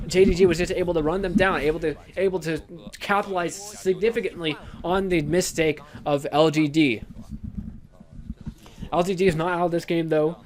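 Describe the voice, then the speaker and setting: A young man with a raspy voice, casting an esports match play-by-play by himself, often restarting his sentences and getting louder when the fights break out. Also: a little high, moderate pace, a little hiss or hum. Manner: frequent restarts and stumbles, rising to excited shouting in fights. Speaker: esports caster. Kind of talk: solo play-by-play shoutcast.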